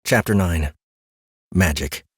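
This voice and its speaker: Deep-voiced male audiobook narrator. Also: takes it slowly, breathy edge, no echo, studio quality. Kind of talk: scripted fantasy fiction narration.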